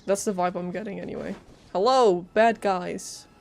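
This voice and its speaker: A woman's voice: on the low side, fairly even intonation, normal speed, vocal fry. A woman live-streaming a game with casual, chatty and playful commentary. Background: faint game music.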